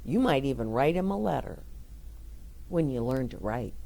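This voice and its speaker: A middle-aged woman reading a picture book aloud and chatting gently and encouragingly to young children. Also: a bass voice, lively intonation, normal speed, gravelly. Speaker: children's storyteller. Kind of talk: read-aloud story time with chat to children.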